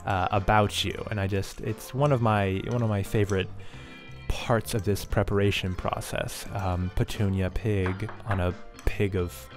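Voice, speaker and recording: A young man in a home kitchen, hosting a tongue-in-cheek cooking tutorial with mock confidence and halting, repeated words. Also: fairly low pitch, fairly even intonation, soft background music. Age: young adult. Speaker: host of a cooking parody vlog. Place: home kitchen and dining area, indoors.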